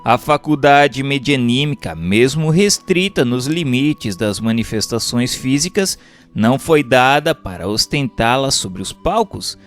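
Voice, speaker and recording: A man with a Brazilian accent reading a doctrinal text aloud as a narrator, in a formal, didactic way. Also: medium pitch. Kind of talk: scripted audiobook-style narration of a doctrinal text.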